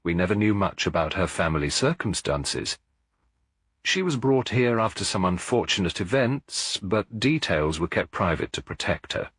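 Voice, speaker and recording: A man's voice: deep voice, expressive, moderate pace, fairly bright tone, slightly rough, dry recording, exaggerated voice. A man voicing a careful, reflective woman who works at a children's centre, in a storybook mystery.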